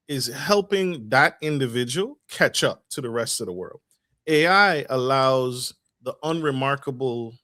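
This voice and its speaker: Male podcast host, mid-pitched, dry close recording, emphatic stacked questions. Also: sharp tone, no echo. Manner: asks long, stacked questions with emphatic rephrasing. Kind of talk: podcast interview.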